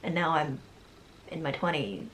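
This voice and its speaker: A woman's voice: sits low, expressive, moderate pace, fairly bright tone, slight background noise. A woman in her early twenties talking anxiously in a casual, rambling vlog, often laughing as she speaks. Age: 20s. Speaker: vlogger.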